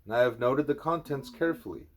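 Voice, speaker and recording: A man reading a spiritual letter aloud at home, in a measured, earnest voice with deliberate pauses. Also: medium pitch, slight background noise. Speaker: reader of a spiritual book.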